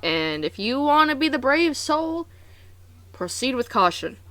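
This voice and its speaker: A woman hosting a reaction video, commenting casually in an animated, exclamatory, slangy way. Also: high voice, measured pace, no echo.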